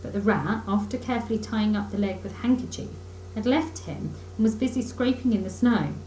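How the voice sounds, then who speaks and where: A woman's voice: mid-range voice, brisk, dark tone. A woman narrating a children's story aloud expressively, like a teacher reading to pupils. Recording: roomy echo, faint background noise.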